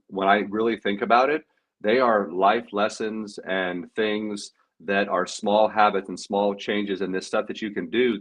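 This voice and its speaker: A man's voice: fairly low pitch, fairly bright tone. A man of about forty on a video call panel, a life coach sharing advice in a reflective, loose way with many fillers. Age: 40s. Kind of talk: casual panel chat.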